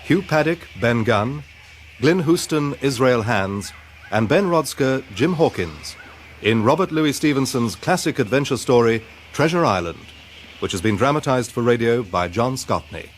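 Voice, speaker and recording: A man's voice: mid-range voice, expressive, normal speed. Male radio announcer, faint background noise.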